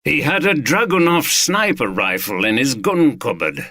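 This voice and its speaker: A man voicing a calm, measured senior detective who speaks slowly and understatedly. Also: mid-range voice, no echo, studio quality, pitched above the performer's own voice, exaggerated voice.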